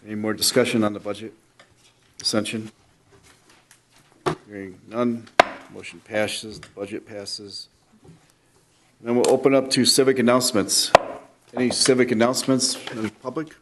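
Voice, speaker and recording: A man chairing a city council meeting who calls the vote and moves the agenda along briskly. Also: fairly low pitch, steady tone, heavy background noise. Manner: brisk, procedural. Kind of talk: procedural chairing.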